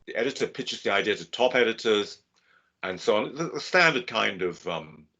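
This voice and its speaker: A man giving a measured, steady webinar lecture over a video call. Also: fairly low pitch. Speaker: guest lecturer. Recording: dull lo-fi video call audio.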